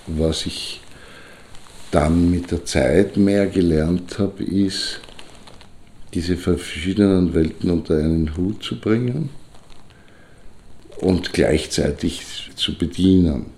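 A man playing a reflective figure who muses slowly and haltingly on life and death in an audio drama. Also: low voice, a little mellow. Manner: pensive, halting, philosophical. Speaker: speaker in a fictional collage of personal memories. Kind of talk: slow reflective spoken monologue.

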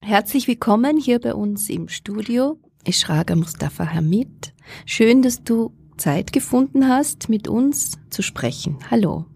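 A woman hosts a community radio show from a studio. Her voice is gravelly with a husky edge. She talks in a friendly, measured way and leaves small fillers between thoughts. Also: fairly high pitch, animated, a little mellow. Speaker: radio host and team leader.

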